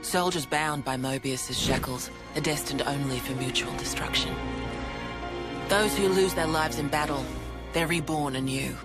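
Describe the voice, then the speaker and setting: A woman's voice: low voice, moderate pace, close to an everyday voice. Actress as female resistance officer, earnest, blunt, emphatic, game cutscene, prominent backing music. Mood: grave, intense. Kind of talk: video game cutscene acting. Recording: orchestral game music behind.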